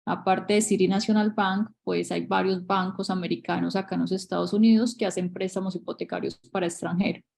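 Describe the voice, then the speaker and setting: Woman co-hosting a webinar call; monotone, gravelly, halting. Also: lowish voice. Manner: searching for words, repeats herself. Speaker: real estate agent, co-host. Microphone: video call.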